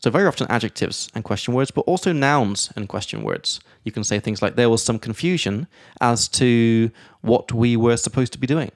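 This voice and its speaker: A man hosting a casual podcast, explaining English grammar in a lively way and reading out example sentences. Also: medium pitch, normal speed, few pauses, no echo, clean studio sound. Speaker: podcast host and English teacher.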